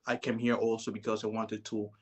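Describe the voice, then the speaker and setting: A man, telling his life story as an interview guest in a relaxed, hesitant way. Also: fairly low pitch, fairly quick, husky. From Dominican Republic. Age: 30s. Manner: relaxed, hesitant, many "you know"s.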